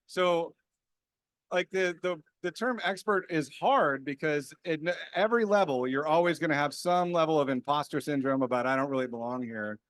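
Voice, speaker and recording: Candid, self-deprecating man, slightly high voice. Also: normal speed, a bit bright, no echo. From small town, grew up there. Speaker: panelist, experienced software developer. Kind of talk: conversational conference panel answer.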